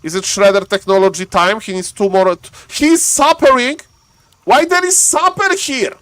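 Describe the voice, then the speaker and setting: A man from Poland with a Polish accent, casting a live strategy game in chatty, excitable English and answering his chat. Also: high-pitched, moderate pace, smooth, no room sound. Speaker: game caster.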